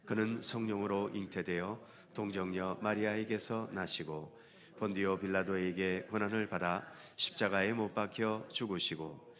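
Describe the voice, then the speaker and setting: Deep-voiced pastor with a stuffy nose. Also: male voice, a bit bright. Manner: slow solemn liturgical recitation. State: nasal from a head cold. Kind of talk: leading a church service with prayer and creed. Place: church sanctuary.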